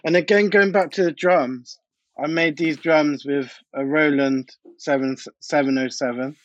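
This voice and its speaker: A man's voice: fairly high pitch, steady tone, unhurried, no room sound, dull audio. A British man, a DJ and producer, chatting informally and anecdotally as an interview guest. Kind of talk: casual interview chat.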